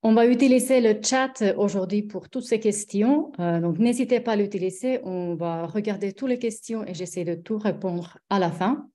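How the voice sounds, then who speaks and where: A nutritionist from Finland, a woman with a Finnish accent, presenting a webinar in halting speech full of fillers and restarts. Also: medium pitch, mellow.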